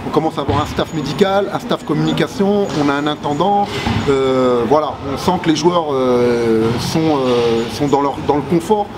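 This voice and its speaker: A man in his forties sits in empty arena stands, answering interview questions in a hesitant, restarting way. His voice sits somewhat high. Loud background noise runs under everything. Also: moderate pace. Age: 40s. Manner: hesitant, many fillers and restarts. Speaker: basketball player. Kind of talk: seated interview.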